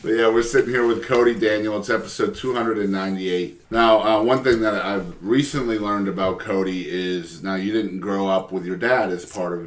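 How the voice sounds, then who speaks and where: Low-voiced Texas talk host, folksy humor, roomy echo. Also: male voice, steady tone, moderate pace, few pauses. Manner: folksy and talkative, gives advice with humor. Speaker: podcast host. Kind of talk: casual interview chat.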